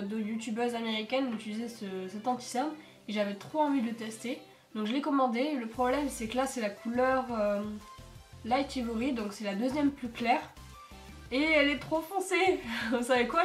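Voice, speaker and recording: Teen girl, congested from a cold, rambling vlog chat, slightly high voice, echoey room, light music under. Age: teens. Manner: chatty, rambling with many fillers. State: congested, stuffy nose from a cold. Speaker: beauty vlogger. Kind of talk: casual makeup tutorial chat. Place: home.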